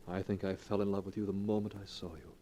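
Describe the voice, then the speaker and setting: A charming young rogue who coaxes and flatters, as an actor plays him. His voice is very deep. A faint hiss sits under this 1940s radio recording. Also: fast, lightly acted. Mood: tender. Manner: smooth, coaxing.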